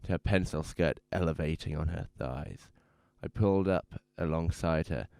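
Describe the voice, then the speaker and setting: A man's voice: rumbling low, normal speed, creaky, darkish tone, dry recording. A man playing a bitter, guarded drifter in a radio drama, with a brooding, profane way of talking. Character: bitter, guarded male drifter fleeing his burnt-down life. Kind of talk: noir-style radio drama monologue and dialogue. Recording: noisy background of car and road. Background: car driving ambience.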